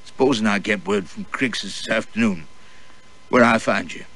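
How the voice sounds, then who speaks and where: Audiobook role: male reader as a deep-voiced manservant, respectful, stubborn and wary. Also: moderate pace, fairly bright tone. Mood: shaken, submissive.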